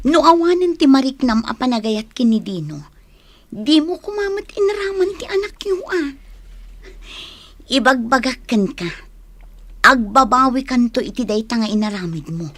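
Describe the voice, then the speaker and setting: A chiding best friend. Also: female voice, high-pitched, expressive, moderate pace, long pauses, fairly bright tone, no room sound. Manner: chiding, advising. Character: scolding female best friend. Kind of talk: radio drama acting.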